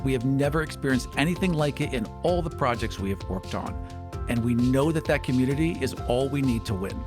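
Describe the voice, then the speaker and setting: Articulate man with a middling-pitched voice. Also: expressive, brisk, music in the background. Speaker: show co-host and player. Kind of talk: tabletop role-play talk and sincere appeal.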